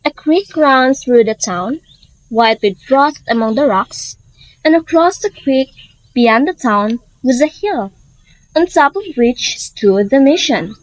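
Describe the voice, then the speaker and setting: A woman's voice: high voice, lively intonation, slow pace, no room sound, low-quality recording. A young woman reading scripted narration for a short story. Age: young adult.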